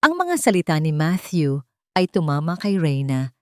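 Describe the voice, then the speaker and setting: Female Filipino narrator for dramatic romance fiction, low register, close dry sound. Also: big pitch swings, moderate pace, darkish tone, no echo. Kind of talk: dramatic scripted storytelling, radio drama style.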